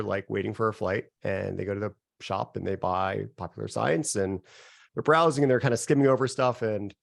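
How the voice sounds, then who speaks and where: Low gravelly man, nasal from a cold. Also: no echo, studio quality. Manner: relaxed and conversational, chuckles at himself. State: getting over a cold. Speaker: software founder presenting a workshop. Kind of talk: casual live webinar presentation. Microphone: video call.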